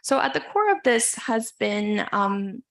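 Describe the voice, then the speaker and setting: A woman from Iran giving an academic lecture as a guest speaker, measured and hesitant, pausing often as she goes. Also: a little high, sing-song, creaky, fairly bright tone.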